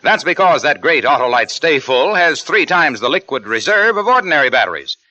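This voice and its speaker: A man's voice: a little high, big pitch swings, a little fast. A man announcing a radio drama and reading ad copy in emphatic 1940s style. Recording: dull old radio recording with loud music.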